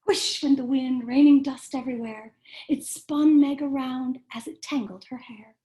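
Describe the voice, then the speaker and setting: Lady reading a picture book to kids, high soft mellow voice, takes her time. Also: fairly even intonation, normal speed. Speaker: cantor reading a bedtime story. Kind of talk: storybook read-aloud for children.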